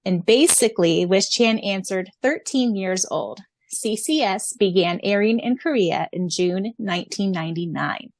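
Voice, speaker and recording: A gushing, excitable woman co-hosts a fan podcast, laughing often as she reads the answers. Her voice sits at a middle pitch. It is recorded close and dry. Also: measured pace, few pauses, dry recording. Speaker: podcast co-host. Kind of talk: casual fan podcast chat.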